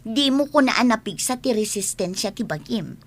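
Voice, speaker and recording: An actress playing a chatty, teasing young-sounding friend in a radio drama, with an Ilocano accent. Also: female voice, a little high, animated, normal speed. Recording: noticeable background noise.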